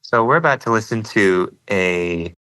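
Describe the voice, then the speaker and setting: A man's voice: fairly low pitch, expressive, unhurried, sharp tone, clear, resonant tone. A man, a comic author, giving a conversational introduction to an audio clip.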